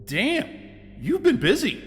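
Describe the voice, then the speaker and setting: A man's voice: extremely high pitch, wildly swooping pitch, slow pace, in a large hall, soft background music. A man playing a weary, sarcastic and short-tempered lead, talking in an audio drama. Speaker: lead character.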